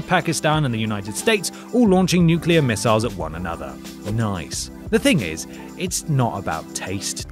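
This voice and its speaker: A man hosting a scripted countdown show, narrating with wry, sarcastic asides. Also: mid-range voice. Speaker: host of a video game list show. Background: music.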